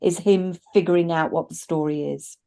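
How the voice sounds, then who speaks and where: Middle-aged female podcast co-host, relaxed dark tone, slightly low register, reflective and chatty, discussing informally. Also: normal speed. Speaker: podcast co-host reviewing films. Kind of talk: casual discussion and commentary. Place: indoors at a desk, video call with virtual backdrop.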